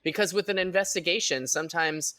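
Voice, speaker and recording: A man's voice: fairly high pitch, fairly quick, bright, forceful tone, dry recording. A man who works as an audio editor, chatting casually and emphatically on a panel, often repeating phrases to make a point. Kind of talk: casual, animated panel chat.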